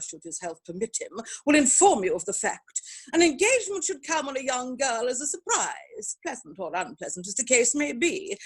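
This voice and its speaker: A woman playing an imperious, snobbish older aristocratic mother in a theatrical comedy reading, speaking with pompous, deliberate emphasis. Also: medium pitch, slightly rough. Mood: stern, commanding. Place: virtual performance from home in isolation.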